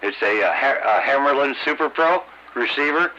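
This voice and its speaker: A middle-aged or older American man chatting casually as a radio hobbyist, halting and repeating his words, over a CB radio transmission. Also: medium pitch, expressive, sharp tone. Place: two-way CB radio conversation. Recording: dull, noisy CB radio transmission.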